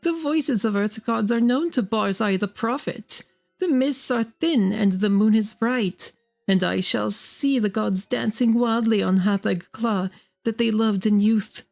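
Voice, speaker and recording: A proud, fanatical prophet as a woman reader acts him out, crying out in archaic, grandiose phrases. The voice is shrill and raised high, in a storybook horror style, with music playing underneath. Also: unhurried, gravelly, a little mellow. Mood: exultant, boastful. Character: Barzai, proud fanatical male prophet, exultant, wildly shouting. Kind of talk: dramatic audiobook character voice.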